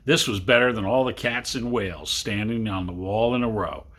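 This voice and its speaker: A man reading a literary story aloud to children, with dramatic, deliberate phrasing and long pauses. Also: fairly low pitch, fairly bright tone. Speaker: reader. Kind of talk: expressive storybook narration for children.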